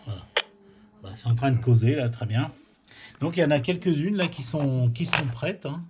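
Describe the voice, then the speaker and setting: A man's voice: medium pitch, moderate pace, noisy background. A man doing a casual technical interview, explaining and recapping as he goes. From France. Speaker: interviewer, amateur radio tutorial host. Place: indoors at a radio amateur's home.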